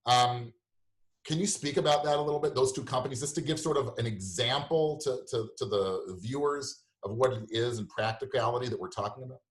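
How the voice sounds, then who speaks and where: A man's voice: mid-range voice, fairly quick. Man hosting an interview, roomy echo. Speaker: show host interviewing a guest. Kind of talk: conversational interview.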